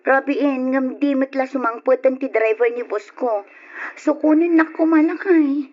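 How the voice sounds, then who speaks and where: An actress playing a dutiful, nagging working wife in conversational old radio drama style. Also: female voice, high-pitched, moderate pace, muffled recording, close to an everyday voice. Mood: exasperated.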